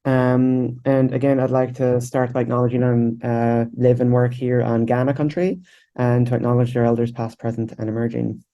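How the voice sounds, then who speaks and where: An Irish man in his thirties gives a conference talk in a low-key, conversational way, leaning on easy fillers. His voice is mellow and dark, with a flat, even pitch. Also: moderate pace, lo-fi sound. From Ireland. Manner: relaxed, informal, many fillers like "kind of". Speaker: researcher presenting at a webinar. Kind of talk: conference presentation.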